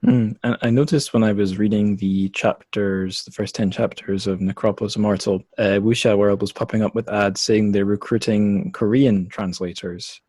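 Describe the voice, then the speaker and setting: A man's voice: lowish voice, fairly even intonation, normal speed, few pauses, a little mellow, husky, no room sound. A man hosting a casual interview, curious and a little hesitant, often interrupting himself as he asks. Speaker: podcast host.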